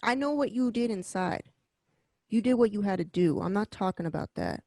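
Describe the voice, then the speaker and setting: A woman acting as a stern mob boss in a radio drama. The speech is blunt and profane, circling back to hammer each warning home. The figure is wary and controlling, at an everyday middle pitch with a dry, close sound. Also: animated, normal speed, creaky, darkish tone, dry recording. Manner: blunt, profane, emphatic repetition. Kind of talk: amateur radio drama table read.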